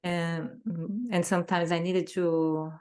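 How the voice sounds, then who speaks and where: Brazilian woman, native Portuguese speaker, unhurried, lower register; measured interview answers in English. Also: little pitch movement, a little mellow. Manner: careful, searching for words in a second language. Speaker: interview guest.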